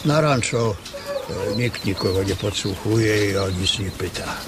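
An actor playing a mild old ranch cleaner in a radio play, hesitant and rambling. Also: male voice, fairly low pitch, measured pace, heavy background noise, lightly acted. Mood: mild, wistful. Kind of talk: radio drama acting. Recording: loud outdoor ambience with birdsong.

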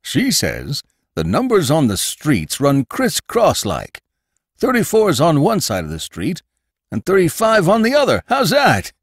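A prying, gossipy old landlord, put on by a man reading a story, talking in a rustic drawl. His voice sits high and leaps around in pitch. The sound is close and dry. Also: wildly swooping pitch, measured pace, husky, no room sound, heavily acted. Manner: folksy rustic dialect, prying. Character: suspicious old male boarding-house keeper, nosy, gossipy.

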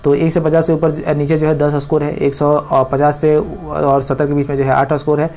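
A man talking through a cricket pitch report over a screen recording, rambling with many fillers and restarts. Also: completely flat delivery, moderate pace, dark tone. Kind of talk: screen-recorded commentary talk.

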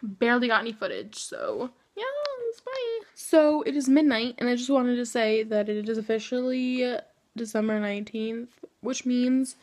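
A woman's voice: a little high, lively intonation, moderate pace, darkish tone. A sixteen-year-old girl chatting casually into her phone camera for a vlog, giggly and slangy with lots of fillers. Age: teenager, 16. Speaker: vlogger. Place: outdoors in a cold yard, then a restaurant, then a bedroom. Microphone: phone or webcam held close.

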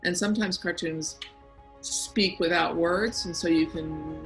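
A middle-aged woman, a cartoonist, talking casually and reflectively over a video call. She speaks in a quiet, soft tone and often pauses to find her words. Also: lowish voice, fairly even intonation, over music. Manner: quiet, soft-spoken tone with frequent hesitations. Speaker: cartoonist speaking to young listeners. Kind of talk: casual reflective talk answering questions. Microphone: video conference call.

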